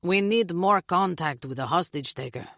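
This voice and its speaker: A woman's voice: fairly low pitch, expressive, normal speed, a bit bright, no room sound, clean studio sound. A woman voicing a worried, insistent father in an audiobook. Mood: urgent. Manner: urgent, pressing.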